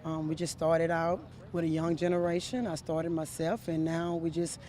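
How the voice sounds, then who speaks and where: A man's voice: a little high, little pitch movement, moderate pace, a little mellow, a little hiss or hum. A man who coaches a youth track program, answering interview questions outdoors at a meet, earnest and enthusiastic.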